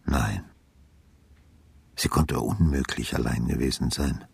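Husky, deep-voiced brooding detective. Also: male voice, steady tone, slow pace, no echo, heavily acted. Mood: grave, insistent. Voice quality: husky, low. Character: brooding retired male homicide detective, haunted and sardonic. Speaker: narrator and lead actor. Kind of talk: literary radio drama narration with inner monologue.

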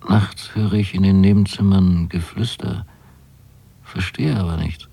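Uneasy young son, low-voiced. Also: male voice, fairly even intonation, a little slow, creaky, mellow, breathy edge, full character voice. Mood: uneasy, hushed. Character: uneasy, watchful young son lodging among strangers. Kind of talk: intimate radio drama monologue, spoken as a letter.

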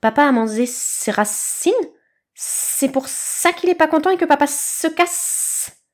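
Woman as a sulky, slow-talking little snake. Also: high-pitched, lively intonation, voice pushed up. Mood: naive, puzzled. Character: childish sulky little snake, petulant and naive.

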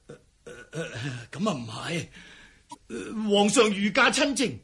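A man's voice: high-pitched, big pitch swings, unhurried, bright, forceful tone, husky, heavily acted. A man playing a cowardly, self-serving fleeing palace eunuch in a historical radio drama, stammering and sighing as he talks. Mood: nervous, evasive.

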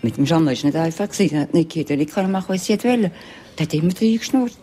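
A woman's voice: low-pitched, lively intonation, quick pace, warm tone, soft background music. A woman reminiscing in an emphatic, colloquial, unscripted way.

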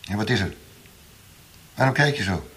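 An actor playing a gruff secret police torturer in a radio drama, defensive and conspiratorial, hesitant when challenged. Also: male voice, fairly low pitch, big pitch swings, normal speed, fairly bright tone, heavily acted. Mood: brooding. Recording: noisy radio play recording with clicks.

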